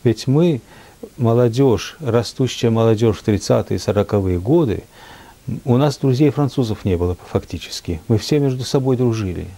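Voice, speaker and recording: An older man from France reminiscing in a reflective, unhurried way, often pausing with hesitations. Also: mid-range voice, mellow. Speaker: speaker at a memorial. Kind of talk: reminiscing talk.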